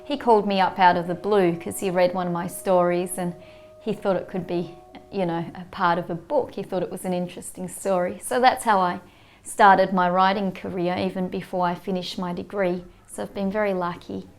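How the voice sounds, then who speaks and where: A woman's voice: on the low side, steady tone, a little fast. A woman in her late thirties with an Australian accent, casually sharing stories as an interview guest. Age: late 30s. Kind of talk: casual reflective storytelling. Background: music.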